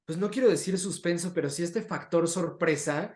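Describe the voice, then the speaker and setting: A man's voice: fairly high pitch, normal speed, darkish tone. A man hosting a casual interview in a conversational style. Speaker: interview host. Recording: roomy, echoing sound.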